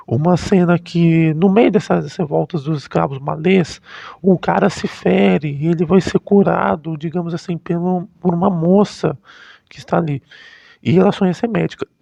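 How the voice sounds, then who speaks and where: High-voiced Brazilian man, halting delivery. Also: vocal fry, mellow. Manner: halting, frequently rephrasing mid-sentence. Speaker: history YouTuber. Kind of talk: casual unscripted commentary. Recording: dull, lo-fi, roomy sound.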